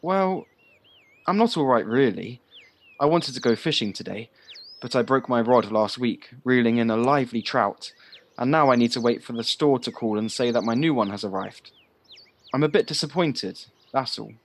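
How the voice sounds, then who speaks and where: A man's voice: mid-range voice, expressive, moderate pace, lightly acted. A man acting a frank, earnest boyish village lad in an audio play, recorded at home. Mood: glum. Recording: home recording on personal device, faint noise.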